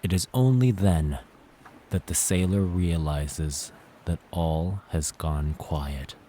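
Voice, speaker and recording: A man's voice: low-pitched, slow pace, mellow, a little hiss or hum. A man narrating a scripted horror tale as a dramatic storyteller.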